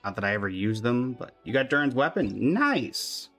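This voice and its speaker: Guy streaming a game, chatting with viewers, mid pitched voice, music playing behind him. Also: sing-song, moderate pace, a bit bright. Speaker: game livestreamer.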